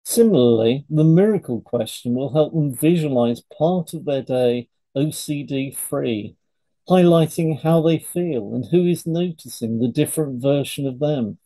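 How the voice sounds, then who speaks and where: Dark, mellow-voiced man reading educational script. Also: on the high side, a little slow, low-quality recording. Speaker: podcast co-host, hypnotherapist. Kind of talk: scripted educational podcast read aloud in turns.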